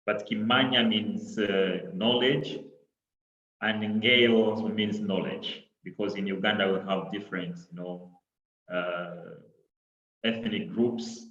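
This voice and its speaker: Congolese guy giving a talk over a laptop, slow and kinda low, halting with lots of you know, echoey dull sound. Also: fairly even intonation, a bit bright. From Democratic Republic of Congo. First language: Kiswahili and French. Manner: halting, with many repeated words and "you know". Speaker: presenter. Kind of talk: online talk. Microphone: laptop on a video call.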